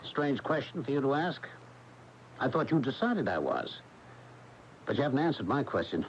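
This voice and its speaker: An actor playing a tormented, jealous American husband in a radio drama, with an intense, brooding delivery. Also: male voice, medium pitch, big pitch swings, moderate pace, a little hiss or hum. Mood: jealous, menacing. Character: tormented, brooding, jealous husband narrating his own story. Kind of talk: 1980 American radio drama acting and narration. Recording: dull old AM radio, loud music behind.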